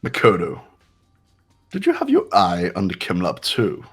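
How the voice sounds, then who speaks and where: A man voices a haughty young genius with a low-pitched voice. He talks formally and gives orders with smug condescension, in the manner of a video game rival, with music playing underneath. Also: huge pitch swings, a little slow, breathy edge, heavily acted. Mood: condescending. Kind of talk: amateur voice acting in a game playthrough.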